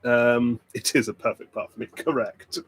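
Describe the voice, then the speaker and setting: A man's voice: medium pitch, fairly even intonation, normal speed, a bit bright, slightly rough. A man with a British RP accent hosting a pub quiz livestream, chatting and joking with viewers. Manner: playful, joking banter with the chat. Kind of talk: casual livestream chat with viewers.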